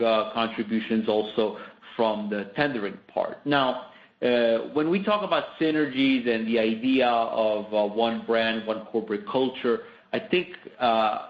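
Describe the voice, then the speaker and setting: A man speaking as a company executive, answering analysts off the cuff in a hesitant, wordy way full of asides. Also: fairly low pitch. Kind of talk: spontaneous business explanation. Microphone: conference call line. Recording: slightly muffled conference call audio.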